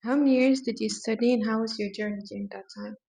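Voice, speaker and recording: Young woman hosting a podcast, slightly high voice. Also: fairly even intonation, quick pace, vocal fry, warm tone, husky. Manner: reads prepared questions with hesitant ums. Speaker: podcast host.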